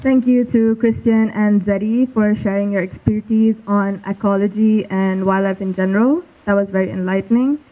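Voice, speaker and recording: A woman's voice: medium pitch, normal speed, hardly any pauses. Young woman from Malaysia, phone-like audio. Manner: earnest, steady recitation from notes. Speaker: student presenter. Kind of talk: school showcase presentation. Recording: tinny phone-like sound.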